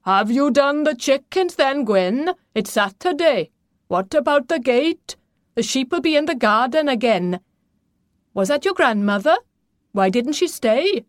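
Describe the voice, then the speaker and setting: A woman voicing a gruff, harried farmer father who shouts demands in an audiobook. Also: sits high, lively intonation, a little slow, no room sound, studio quality, voice pushed up. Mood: demanding.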